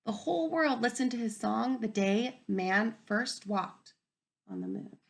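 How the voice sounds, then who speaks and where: A woman teacher reading a picture book aloud to young children, gentle and encouraging, often dropping to a soft whisper. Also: fairly high pitch, lively intonation, unhurried, creaky. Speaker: kindergarten teacher. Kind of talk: read-aloud storybook lesson for young children.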